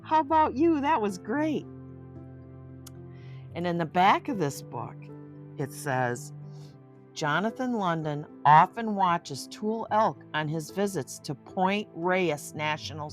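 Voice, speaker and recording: An older woman with a low voice hosts a storybook read-aloud. She takes her time and speaks directly to young listeners, adding effusive, chatty asides. Faint music plays behind her. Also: big pitch swings, slow pace. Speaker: host reading picture books aloud.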